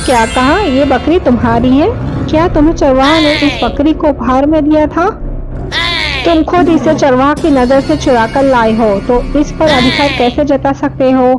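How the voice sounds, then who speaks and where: A woman's voice: high-pitched, normal speed, natural voice, poor audio. Woman doing a grand bossy lion king. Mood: angry. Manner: grand, commanding. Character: proud bossy male lion, king of the jungle. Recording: background music.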